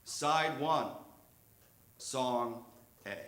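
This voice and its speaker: A man reading a comic personal story live on stage in a theatrical, measured way. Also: medium pitch, fairly bright tone. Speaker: reader of a humorous memoir story. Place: theatre stage before an audience. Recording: echoey live stage recording.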